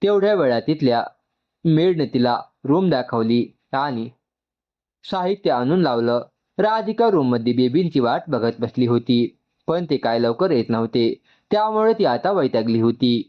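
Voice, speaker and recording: A man's voice: mid-range voice, lively intonation, normal speed, highs cut off. A man narrating an emotional story from a script.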